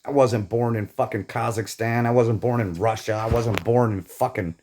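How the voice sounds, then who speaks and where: A man's voice: mid-range voice, fairly even intonation, moderate pace, darkish tone, a little hiss or hum. A man from Indiana delivering a bitter, profane, emphatic rant about his grievances. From Northeast Indiana, USA. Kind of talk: angry personal rant to camera.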